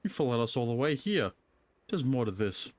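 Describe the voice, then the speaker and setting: A man's voice: medium pitch, big pitch swings, moderate pace, dry recording. A man giving casual, sceptical live commentary as he plays a game, talking back to the characters. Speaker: gamer reacting during playthrough.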